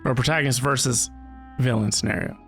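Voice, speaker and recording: A woman's voice: a bass voice, fairly even intonation, fairly quick. A woman giving casual, playful, teasing commentary as she reacts to a video game. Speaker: gaming reaction streamer. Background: faint game music.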